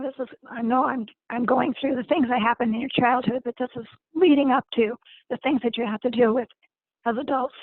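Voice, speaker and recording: A woman, as a guest facilitator, speaks gently to an online group and often repeats words as she searches for them. Her voice is hoarse. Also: high-pitched, no room sound, narrow, tinny audio. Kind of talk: informal lecture to an online group.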